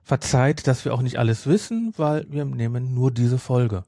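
Mellow, gravelly man chatting casually. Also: mid-range voice, moderate pace, dry recording, studio quality. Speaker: podcast co-host. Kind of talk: casual chat.

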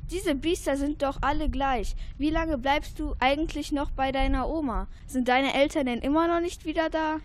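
A woman's voice: high-pitched, moderate pace, few pauses, natural voice. A woman playing a grumpy, snappish, dog-hating neighbour in a German radio play. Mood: scornful. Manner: snappish, disdainful.

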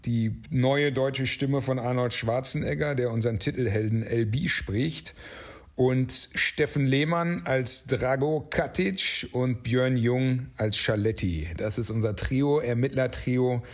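A man with a slightly husky voice giving explanatory answers as an interview guest. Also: medium pitch, measured pace. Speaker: interview guest, audio drama writer.

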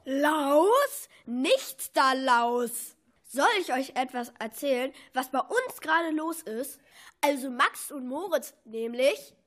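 A woman's voice: high-pitched, animated, takes it slowly, a bit bright, heavily acted. A woman playing a mischievous bookworm from a picture book in a children's radio play, declaiming with relish. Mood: cheeky. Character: cheeky prankster bookworm from a classic picture book.

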